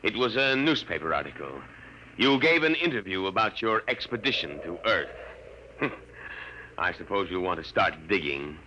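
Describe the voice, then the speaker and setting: Deep, forceful, scornful military lord. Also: male voice, very animated, moderate pace, full character voice, rough recording. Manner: blunt, dismissive, superior. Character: gruff, scornful imperial soldier lord, condescending. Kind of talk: 1950s radio acting. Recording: vintage radio with faint noise.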